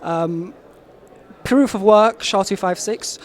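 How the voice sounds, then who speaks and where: Man, young adult, high-pitched, insistent, casual interview. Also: takes it slowly. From Albania, raised in London. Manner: eager, insistent, emphatic claims. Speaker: marketing lead, interviewee.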